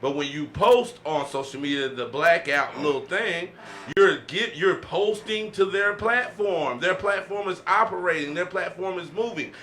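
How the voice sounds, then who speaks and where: Bright-voiced man hosting a casual discussion. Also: high voice, normal speed. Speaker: podcast host. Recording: faint background noise.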